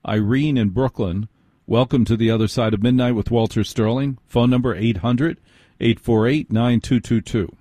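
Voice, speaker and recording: An American man hosting a live call-in radio show, blunt and sardonic, quick to cut in. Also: medium pitch, mellow, dry recording, studio quality.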